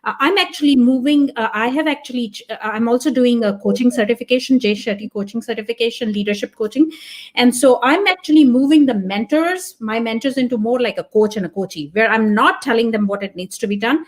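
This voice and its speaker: A woman whose first language is Punjabi, answering as a podcast guest. Her voice sits a little on the high side. She talks earnestly and reflectively, thinking aloud as she goes. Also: brisk. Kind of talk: casual interview talk.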